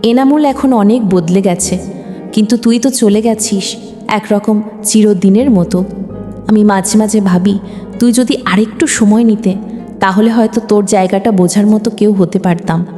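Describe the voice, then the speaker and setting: A woman's voice: mid-range voice, moderate pace, mellow, natural voice. Woman playing a resigned, tender young admirer in a love story; long echo, musical backing. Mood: wistful. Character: wistful, selfless young person in unrequited love. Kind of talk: storybook dialogue. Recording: long reverb, music underneath.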